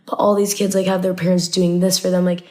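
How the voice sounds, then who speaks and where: A woman's voice: lowish voice, little pitch movement, quick pace, mellow. A young American woman, a native Arabic speaker, recalling things casually as a podcast guest, with "like" peppered through her sentences. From Indianapolis, United States. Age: young adult. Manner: frequent "like" as filler. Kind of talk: casual personal recollection.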